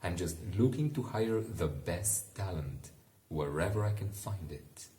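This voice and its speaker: A man reading a bedtime book aloud in a relaxed, informal way, pausing to muse with casual asides. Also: sits low, echoey room. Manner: unhurried, musing, informal. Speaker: bedtime story reader and commentator. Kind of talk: relaxed read-aloud with casual asides to help listeners sleep.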